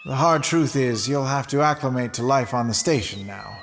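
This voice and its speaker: In a long reverb with music beneath, a man plays a grave, courteous commander of a remote space station. He speaks formally and at length, in a mid-pitched voice, as in a radio play. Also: fairly bright tone, natural voice. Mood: frank, resigned. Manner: measured, formal, speechifying. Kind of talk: audio drama acting.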